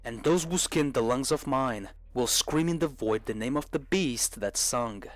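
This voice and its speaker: Horror story spirit, read by a man: drowned, vengeful, solemn and ominous, slow grave delivery, dry close recording. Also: medium pitch, lively intonation, no room sound, close to an everyday voice. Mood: solemn menace.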